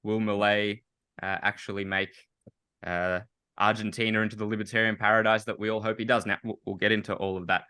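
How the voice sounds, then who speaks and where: A man's voice: lowish voice, little pitch movement, a little fast, pushed and bright, clear, resonant tone, no room sound, studio quality. A man hosting a podcast, speaking enthusiastically in a rambling, chatty way with many fillers. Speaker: podcast host. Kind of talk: casual interview conversation.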